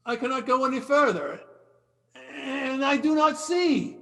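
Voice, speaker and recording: High-pitched drunken nobleman in anguish. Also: animated, fairly bright tone, heavily acted. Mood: bewildered, weak. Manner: moaning, halting, exclaiming. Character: drunken doomed nobleman, bewildered, chained and pleading. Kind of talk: theatrical horror audio-visual drama. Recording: long hollow reverb, video-call stage reading.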